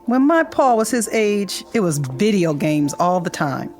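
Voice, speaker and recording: A woman's voice: mid-range voice, big pitch swings, a little slow, darkish tone, faint music behind. A woman playing an easygoing middle-aged American suburban mother in naturalistic radio drama, chatty and folksy.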